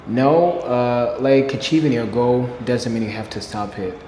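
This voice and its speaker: A man's voice: medium pitch, unhurried, dark tone. A man from Burundi whose first language is Kirundi, answering interview questions in an earnest way and repeating key phrases for emphasis. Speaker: interview guest, English learner. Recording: echoey room with long reverb, faint noise.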